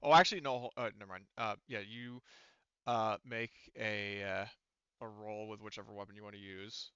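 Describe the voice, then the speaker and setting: Clear-voiced man running a tabletop game. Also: fairly low pitch, steady tone, moderate pace, sharp tone, no echo, muffled recording. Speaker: game master. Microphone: online voice call.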